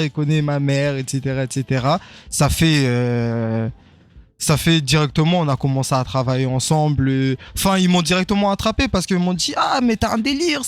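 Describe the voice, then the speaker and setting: Hoarse young man, relaxed rapper guest. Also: fairly high pitch, no echo. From Congo (Kinshasa), grew up in France. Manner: easygoing, repeats "c'est ça", "et cetera". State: hoarse after performing. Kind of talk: casual radio interview.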